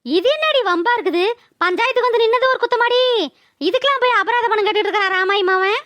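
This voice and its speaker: A nosy old village mother-in-law from a cartoon, put on by a woman, mocks and gossips in an extremely high voice. She has a rural Tamil Nadu accent and a very dry, close recording. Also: fast talker, fairly bright tone, no room sound. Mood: mocking. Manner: mocking, gossipy. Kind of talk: cartoon village drama voice-over.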